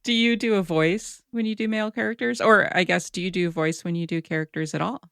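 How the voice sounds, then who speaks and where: Wry, relaxed woman host. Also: lowish voice, quick pace, vocal fry, clear, resonant tone, dry recording, studio quality. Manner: relaxed, wry, laughs often. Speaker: podcast host. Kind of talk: casual interview chat.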